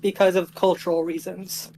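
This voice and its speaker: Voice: mid-range voice, flat delivery, normal speed, dark tone, slight background noise, rough recording. A co-host on a casual animation livestream, eagerly explaining ideas and leaning on lots of "like" fillers. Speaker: indie animation team member, stream co-host.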